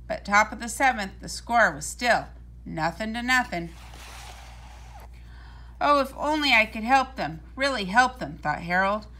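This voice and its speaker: A woman reading a children's storybook aloud in a deliberate, expressive storytelling manner. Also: medium pitch, slight background noise.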